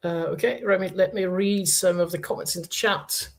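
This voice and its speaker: A man's voice: sits high, fairly even intonation, normal speed. Hesitant Polish-accented man streaming. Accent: Polish, with Norwegian influence. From Poland. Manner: hesitant, many fillers and restarts. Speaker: livestream host. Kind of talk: casual livestream Q&A, reading chat.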